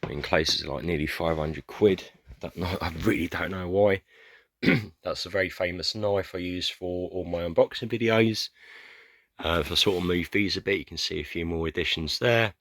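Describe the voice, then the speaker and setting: British man, very low voice, hoarse, rambling casual vlog talk, frequent throat-clearing, dead dry sound. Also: moderate pace, fairly bright tone, dry recording. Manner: rambling, hesitant, many fillers and throat-clearing. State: going hoarse, losing his voice. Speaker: collector showing his film shelves. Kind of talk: casual room tour vlog.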